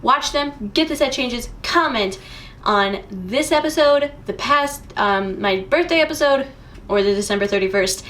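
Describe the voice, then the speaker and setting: A woman's voice: a little high, expressive, measured pace, a bit bright. A young woman hosting a casual vlog show, talking to camera with bubbly enthusiasm. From United States. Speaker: host.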